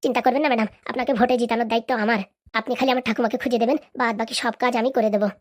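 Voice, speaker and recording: A woman as a cunning, rapid-fire cartoon henchwoman. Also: a little high, little pitch movement, lightly acted. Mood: confident. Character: cunning, boastful female henchwoman and election fixer. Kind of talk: comic cartoon drama acting.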